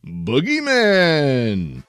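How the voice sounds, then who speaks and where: A man's voice: on the high side, huge pitch swings, drawn-out words, a bit bright, dry recording, faint music behind. Mock-heroic announcer man. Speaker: narrator.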